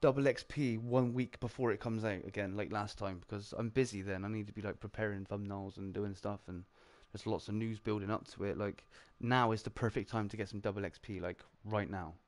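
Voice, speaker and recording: A man's voice: lowish voice, fairly quick, few pauses. Chatty British man laughing through stream talk. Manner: animated, chatty, laughs often, heavy filler "like". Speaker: streamer and show host. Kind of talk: casual round-table chat.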